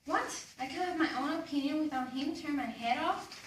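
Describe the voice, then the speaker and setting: Woman as a high-voiced, resentful grown kid. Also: fairly quick, close to an everyday voice. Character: resentful, complaining grown child venting to mother. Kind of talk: readers theater stage performance. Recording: echoey room, distant mic, faint noise.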